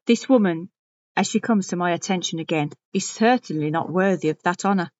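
A woman's voice: fairly low pitch, brisk, a little mellow, dry recording, muffled recording, natural voice. A woman playing a fussy, haughty, anxious older woman in a dramatic audio monologue, with a pompous, self-important way of repeating herself. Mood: haughty, indignant. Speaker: audio story reader. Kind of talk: dramatic first-person monologue reading.

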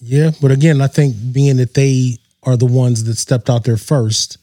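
A man co-hosts a pop culture podcast in a blunt, profane way, quick to call things out. His voice is mid-pitched and a little rough today. The sound is dry, with no room in it. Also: steady tone, dark tone, dry recording. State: voice slightly off. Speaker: podcast co-host.

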